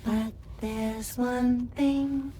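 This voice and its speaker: An actress playing a gentle woman singing to herself in a video game cutscene. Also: a little high, steady tone, drawn-out words, a little hiss or hum.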